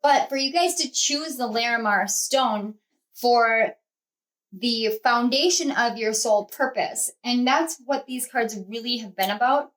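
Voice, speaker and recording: A woman's voice: fairly high pitch, a little slow, fairly bright tone, low-quality recording. A woman hosting a pick-a-card tarot reading, talking to her viewers in a rambling, conversational way with many fillers and restarts. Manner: earnest, speaks to viewers as a group. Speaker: tarot reader and channel host.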